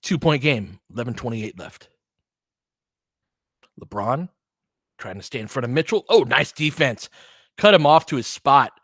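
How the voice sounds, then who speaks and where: A man with a medium-pitched voice calls a basketball game live in a dry recording. His phrases are short and clipped, full of casual slang. He mixes play-by-play with analysis and reacts as a partisan fan. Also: lively intonation, unhurried, long pauses, a bit bright, no echo. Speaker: play-by-play commentator.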